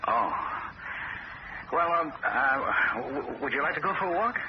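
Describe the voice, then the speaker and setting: An actor playing a shy young man in love, in a radio drama, stammering gently and earnestly, and also narrating. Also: mid-range voice, animated, unhurried, close to an everyday voice. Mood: earnest, gentle. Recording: muffled old radio broadcast with loud hiss.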